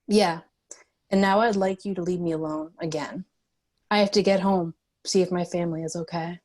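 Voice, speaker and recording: A woman's voice: on the low side, fairly even intonation, moderate pace, darkish tone, lightly acted. A woman playing a stubborn, prickly bounty cop in a screenplay table read, terse and combative. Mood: weary, prickly.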